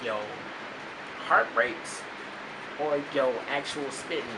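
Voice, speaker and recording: A man's voice: fairly high pitch, takes it slowly. Casual male interviewer over a noisy background. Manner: casual, rephrases his questions. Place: radio studio.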